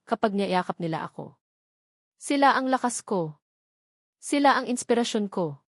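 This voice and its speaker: A woman's voice: mid-range voice, darkish tone, no room sound, clean studio sound. A woman with a Filipino accent reads a scripted first-person dramatic story in short, earnest, sentimental phrases. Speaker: story narrator.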